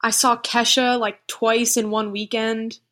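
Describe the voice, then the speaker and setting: A young woman guest on a podcast, chatting casually in a relaxed, halting way with many restarts. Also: on the high side. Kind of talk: casual chat.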